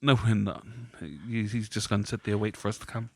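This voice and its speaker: A man's voice: fairly low pitch, fairly quick, no echo. A man, a podcast co-host talking sport casually.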